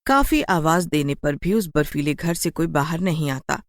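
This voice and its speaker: A woman's voice: low-pitched, animated, normal speed, dry recording, studio quality. A woman narrator reading a scripted horror story for children.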